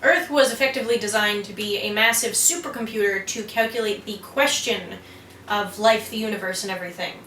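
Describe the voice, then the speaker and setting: A woman's voice: medium pitch, a little fast, a bit bright. A woman co-hosting a geek culture explainer, presenting scripted material. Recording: echoey room with faint noise.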